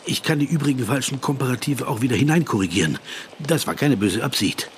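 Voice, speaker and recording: An actor plays a meek male writer in a radio drama. His mid-pitched voice hesitates, repeats words and apologises eagerly, flustered and anxious to placate, with a faint ambience behind him. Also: fast talker, a little hiss or hum, close to an everyday voice. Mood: apologetic, flustered. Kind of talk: German radio play dialogue.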